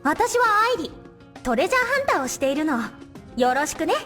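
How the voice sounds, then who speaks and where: Woman acting a cute anime-style adventurer, very high voice, rapid-fire, friendly, game companion. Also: very animated, fairly bright tone, faint music behind. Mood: cheerful greeting. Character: perky young female treasure-hunter adventurer.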